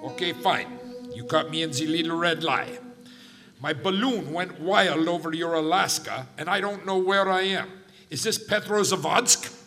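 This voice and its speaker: A bumbling Russian spy drifting in a balloon, a sly, cheerful schemer with broken English and a heavy put-on Russian accent. The voice sits high and bright with a sharp edge. It rings out in a roomy hall reverb with music behind it. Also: male voice, normal speed. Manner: broken English, jokey, evasive.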